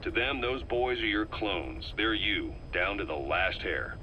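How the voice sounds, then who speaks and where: A calculating spy giving a briefing. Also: male voice, on the low side, big pitch swings, pushed and bright, a little hiss or hum, full character voice, low-quality recording. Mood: measured. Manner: measured, earnest, briefing tone. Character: shrewd male intelligence operative, calm and calculating. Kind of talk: video game cassette tape dialogue.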